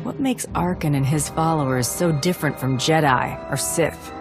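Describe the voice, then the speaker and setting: Cool blunt bounty hunter gal for a game, deep for a woman, music behind. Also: normal speed. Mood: skeptical. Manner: direct, questioning, guarded. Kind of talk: video game dialogue acting. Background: background music.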